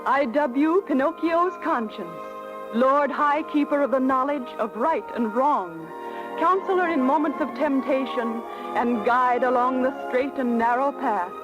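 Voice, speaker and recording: Serene fairy on muffled old radio. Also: female voice, high-pitched. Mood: ceremonial. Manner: formal, measured, gentle. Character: serene, gracious female fairy, kindly and regal. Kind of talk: 1930s radio drama acting. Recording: dull vintage radio broadcast with music.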